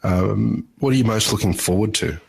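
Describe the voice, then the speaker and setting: A man hosting a podcast interview, asking questions in a measured way. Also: fairly low pitch, creaky, breathy edge. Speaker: podcast host.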